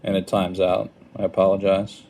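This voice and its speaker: A man giving an informal hands-on product tutorial in a hesitant, halting, apologetic manner. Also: deep voice, little pitch movement, very noisy. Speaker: product demonstrator. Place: indoors at a wooden table.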